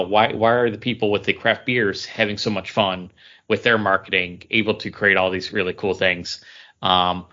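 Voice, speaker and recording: A man co-hosting a podcast, explaining things casually in a halting way. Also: on the low side, pushed and bright, highs cut off. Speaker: podcast co-host. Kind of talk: casual chat.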